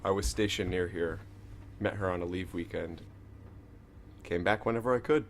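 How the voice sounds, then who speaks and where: A man's voice: deep voice, normal speed, slight background noise. An actor playing a boyish, sheepish young man on the run in a naturalistic audio drama, casually protesting in a good-natured, defensive way.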